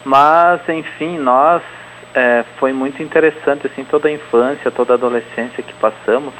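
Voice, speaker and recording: A man's voice: mid-range voice, fairly even intonation, normal speed, a bit bright, a little hiss or hum, low-quality recording. Hesitant middle-aged man on radio. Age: 40s. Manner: hesitant, many fillers and restarts. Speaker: interview guest, event organizer. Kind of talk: radio interview, casual.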